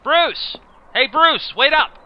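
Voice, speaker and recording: A man's voice: extremely high pitch, takes it slowly, highs cut off. A man acting a nerdy, bullied teenage schoolboy in an amateur audio drama, with a theatrical, wordy, pleading manner. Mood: eager, excited.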